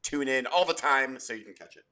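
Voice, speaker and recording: A man's voice: mid-range voice, fairly quick, sharp tone. A man co-hosting a podcast, playful and jokey in casual chat. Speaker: podcast co-host.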